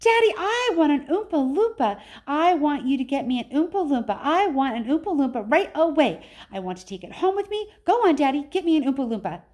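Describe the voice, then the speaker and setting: Storybook role: spoiled rich little girl, high-pitched, loud, whiny and insistent played by a woman. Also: very animated, fairly quick, few pauses. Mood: demanding, screaming. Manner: whining, insistent, shouting.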